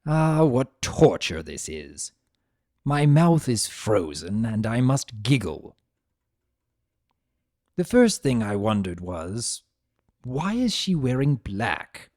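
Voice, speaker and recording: A man's voice: medium pitch, very animated, slow pace, pauses often, a little mellow, dry recording, studio quality. A man voicing a vain, theatrical trapped chaos spirit. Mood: theatrical outrage. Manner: theatrical, sardonic, disdainful. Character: vain, spiteful, bored male chaos spirit trapped as statue. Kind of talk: first-person dramatic fan fiction reading.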